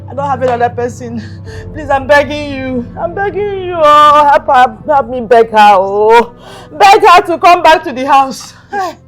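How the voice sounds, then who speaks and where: A woman's voice: mid-range voice, huge pitch swings, very fast, soft background music. An actress with a Nigerian accent playing a stubborn, pleading hired woman in a film melodrama, insistent and Pidgin-inflected. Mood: wailing, pleading.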